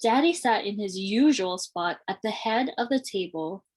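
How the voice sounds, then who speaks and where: A woman reading a children's storybook aloud in a deliberate, expressive way, with clearly separated phrases. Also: mid-range voice. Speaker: children's read-aloud reader.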